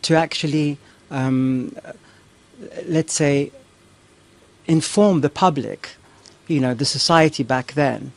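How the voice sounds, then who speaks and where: A man's voice: fairly high pitch, slow pace, creaky. A man in the audience asking a lecturer questions politely, often agreeing with "right" and "yes". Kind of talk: polite Q&A exchange.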